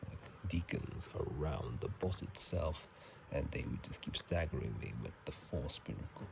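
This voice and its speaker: A man speaking in a soft ASMR whisper and murmur, casual and halting, recorded on a phone microphone. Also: very deep voice, creaky. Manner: halting, casual, self-correcting. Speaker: channel host. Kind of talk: whispered ASMR game commentary. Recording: faint noise, phone microphone.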